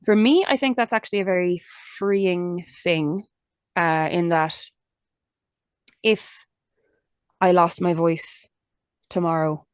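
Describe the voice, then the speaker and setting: Young Irish woman, lowish voice, candid and chatty interview guest, dry recording. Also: takes it slowly, long pauses, clean tone, no echo. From Ireland. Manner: chatty and candid, many restarts and "like" fillers. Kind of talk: casual conversational interview.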